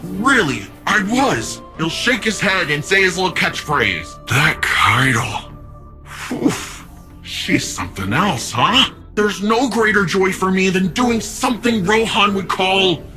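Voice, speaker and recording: An actor playing a cheerful, reckless young hero in a sci-fi game, talking in a casual, breezy way. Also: male voice, a little high. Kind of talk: video game voice acting.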